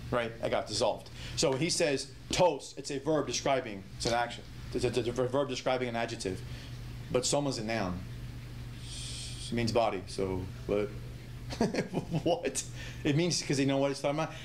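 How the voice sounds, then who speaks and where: A man's voice: mid-range voice, a little hiss or hum. Emphatic middle-aged man teaching Bible study. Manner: emphatic, rhetorical questions, frequent restarts. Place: small indoor room with whiteboard.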